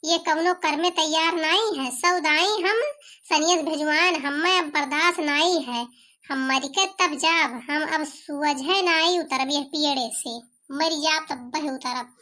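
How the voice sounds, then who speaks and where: A man's voice: very high voice, steady tone, a little slow, bright, forceful tone, heavily acted. A man voicing a distraught, stubborn young village husband in a cartoon comedy, whining defiantly with an eastern Uttar Pradesh accent. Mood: stubborn, aggrieved.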